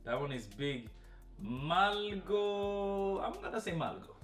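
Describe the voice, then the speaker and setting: A man's voice: high-pitched, big pitch swings, takes it slowly, fairly bright tone. Male fitness coach, webinar host. Casual, effusive encouragement, roomy sound, slightly off-mic. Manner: effusive, encouraging, informal hype. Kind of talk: casual group webinar hosting. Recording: roomy, distant webinar audio with faint music.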